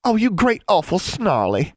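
A man acts out a bubbly older teenage girl in a storybook, pitched well up from his own voice. She gushes with affection and teasing, her tone swooping about in effusive delight. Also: very animated, normal speed, no room sound, studio quality. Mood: playfully indignant. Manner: effusive, cooing, sing-song.

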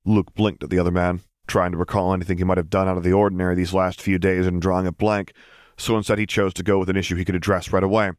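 Deep-voiced man narrating an audiobook. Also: level tone, quick pace, no echo, clean studio sound. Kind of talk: scripted story narration.

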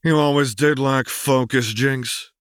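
A man voicing a tough, cynical older female soldier with a raspy, husky voice in an audiobook. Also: mid-range voice, fairly even intonation, a little slow, fairly bright tone, no echo, clean studio sound, pitched above the performer's own voice, natural voice. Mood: scornful.

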